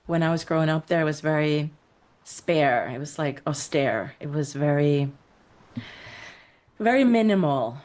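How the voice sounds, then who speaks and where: Low-voiced woman from Iceland, reflective. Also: a little mellow. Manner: reflective, storytelling, frequent fillers and laughs. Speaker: podcast guest, creative coach. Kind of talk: casual interview conversation.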